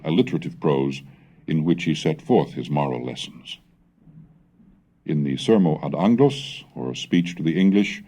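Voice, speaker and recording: A man giving a formal, measured scripted reading, declamatory, in the style of an educational recitation of old texts. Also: low voice, mellow, slightly rough. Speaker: reader and narrator of a language history recording.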